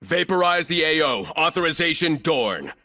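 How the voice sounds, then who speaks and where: A man's voice: a little high, animated, moderate pace, pushed and bright. A man voicing a ruthless, arrogant military operator in a shooter game, giving clipped, commanding combat callouts in a cold, contemptuous manner.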